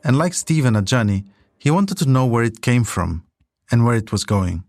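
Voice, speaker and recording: A man's voice: mid-range voice, big pitch swings, normal speed, a little mellow, dry recording, clean studio sound. A man delivering scripted documentary narration as the storyteller of a podcast.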